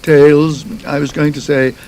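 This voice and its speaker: A man voicing a cautious, evasive Swedish church deacon in a slow, hesitant manner for an audiobook. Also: a little high, little pitch movement, a little mellow, slight background noise, pitched above the performer's own voice, natural voice, low-quality recording. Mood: guarded.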